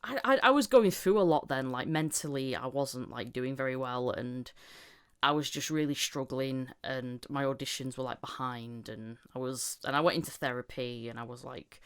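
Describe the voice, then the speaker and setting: Low-voiced woman in her thirties, candid chat. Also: normal speed, smooth. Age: 30s. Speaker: voice actor, podcast guest. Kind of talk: casual chat.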